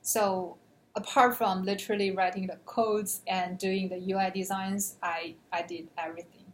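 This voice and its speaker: A young woman from China, a native Chinese speaker, answering interview questions in an earnest, measured way. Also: medium pitch, little pitch movement, a little hiss or hum. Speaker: graduate student.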